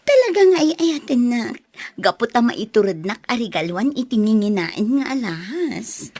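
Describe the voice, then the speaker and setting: A woman's voice: on the high side, sing-song, normal speed, a little mellow. A woman with an Ilocano accent playing a coy, playful young sweetheart in a melodramatic radio drama. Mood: delighted.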